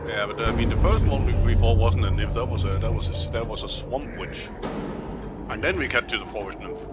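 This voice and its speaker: A man chatting casually as co-commentator on a game, repeating words haltingly as he explains. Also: on the low side. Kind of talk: casual chat over gameplay. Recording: loud game music over voice. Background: loud video game music.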